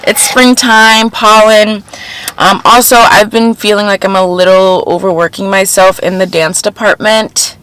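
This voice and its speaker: A woman's voice: medium pitch, steady tone, a little slow, fairly bright tone, a little hiss or hum, low-quality recording. A young woman hosting a podcast, talking earnestly in a casual spiritual self-help chat. She repeats phrases for emphasis and sounds a little nasal and congested. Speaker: podcast host.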